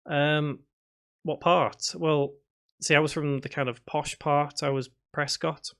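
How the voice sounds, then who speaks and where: A man's voice: on the high side, fairly even intonation, takes it slowly, fairly bright tone. A man from Liverpool with a faded Scouse accent, hosting a casual livestream as a game development tutor, chatting with viewers and sometimes mumbling to himself. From Liverpool area, England. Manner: mumbles to himself while working. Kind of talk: casual livestream chat, answering viewer comments.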